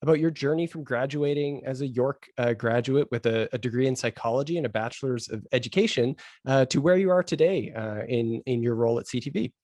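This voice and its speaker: A man's voice: mid-range voice, fairly quick, hardly any pauses, darkish tone, dry recording. A man hosting an online interview, speaking hesitantly with many uh fillers. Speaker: webinar host. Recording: dry webinar audio.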